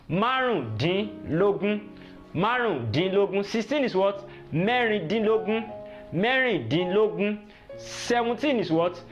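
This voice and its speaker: A Nigerian man with a notably high voice teaches in an informal, encouraging way. He keeps prompting the learner with questions and repeats things for them. The audio is dull and lo-fi, with music playing behind him. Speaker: language teacher. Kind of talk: informal online lesson with questions and repetition.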